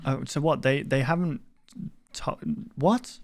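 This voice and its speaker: A man's voice: medium pitch, unhurried, a little hiss or hum. A man giving blunt, informal running commentary as a reaction video host, sometimes reading text aloud.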